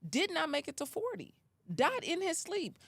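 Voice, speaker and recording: A woman's voice: extremely high pitch, wildly swooping pitch, moderate pace, no echo. A woman in her forties hosting a podcast in a studio, chatting casually with playful, laughing banter and slangy asides. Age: 40s. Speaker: podcast host. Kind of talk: casual chat.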